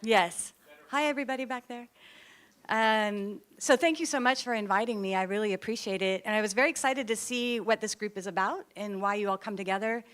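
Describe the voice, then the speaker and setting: A middle-aged woman from Oregon giving a friendly, chatty talk to a gathering, with a loud voice and self-deprecating humor. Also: mid-range voice, brisk. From Oregon, USA. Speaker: guest speaker, nonprofit CEO. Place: meeting hall with audience.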